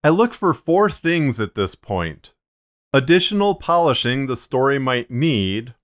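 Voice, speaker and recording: A man with a slightly muddied voice of limited range, narrating an explanatory video in a measured, deliberate, plain-spoken way as a podcast host. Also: mid-range voice, a bit bright.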